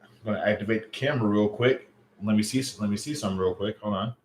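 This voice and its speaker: A man's voice: lowish voice, fast. A man in his late thirties hosting a casual livestream, chatting loosely with viewers and joking about their comments. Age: late 30s. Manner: loose, chatty, joking with chat, lots of fillers. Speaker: livestream host. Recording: roomy echo on a home stream mic.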